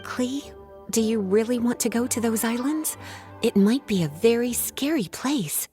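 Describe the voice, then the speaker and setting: A woman's voice: fairly high pitch, a little slow, warm tone, soft background music, close to an everyday voice. A woman voicing a poised, teasing, knowing female librarian in a video game, with formal wording. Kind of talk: scripted video game dialogue and storytelling.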